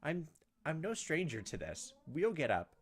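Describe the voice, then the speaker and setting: A man's voice: on the high side, wildly swooping pitch, normal speed, breathy edge. A man hosting a gameplay video, reading a visual novel aloud with theatrical, punchy delivery and joking commentary. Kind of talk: dramatic read-aloud narration mixed with joking commentary. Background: game music.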